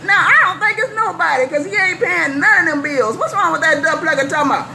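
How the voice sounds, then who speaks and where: American female CB operator, very high forceful voice, playful repetitive chatter, clear radio noise. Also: animated, quick pace. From California, US. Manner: playful, boastful, repeats phrases in a chant. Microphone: CB radio microphone. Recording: noisy CB radio transmission.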